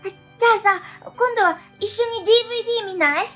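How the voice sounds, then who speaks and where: A woman's voice: very high voice, fast, a bit bright, faint music behind. A woman playing a quirky, earnest, lovestruck schoolgirl with a dramatic way of talking in a radio drama. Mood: eager, happy. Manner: dramatic, drops musical terms into her talk. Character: quirky, earnest schoolgirl in love with transfer student.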